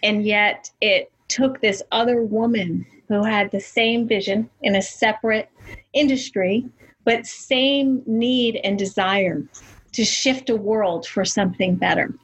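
A woman's voice: medium pitch, a little slow, husky, rough recording. A woman co-hosting a podcast interview, effusive and affirming, often tagging her points with "right?". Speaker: podcast co-host.

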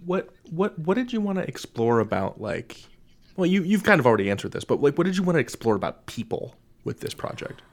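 A man's voice: a little high, sing-song, a little fast. A man hosting a casual interview, conversational and rephrasing his questions as he goes. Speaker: podcast host, interviewer.

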